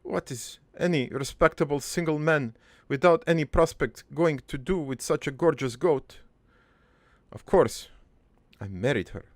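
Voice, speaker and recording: A man voicing a middle-aged, foreign-born cartoon father who rambles through his life story in a deadpan, halting, improvised monologue with a gravelly voice. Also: a little high, no echo, natural voice. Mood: fond, wistful. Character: rambling, crude, wistful foreign-born immigrant father named Joey.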